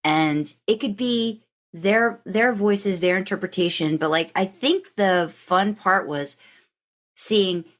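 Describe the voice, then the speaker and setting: A woman's voice: medium pitch, takes it slowly. A woman hosting a podcast in casual chat, rambling a little as she thinks aloud. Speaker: podcast host.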